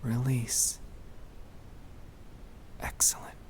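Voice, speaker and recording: A man speaking softly and soothingly, often slipping toward a whisper, as he gently guides breathing in a sleep-aid ASMR style. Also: drawn-out words. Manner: soft-spoken, soothing, often dropping into whispers. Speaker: ASMR creator guiding breathing exercises.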